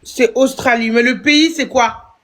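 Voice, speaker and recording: A woman's voice: a little high, fairly even intonation, unhurried, fairly bright tone, a little hiss or hum. A woman hosting a casual live stream, teasing and arguing in a playful way and repeating her points. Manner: teasing, argumentative, repeats herself. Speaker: livestream host.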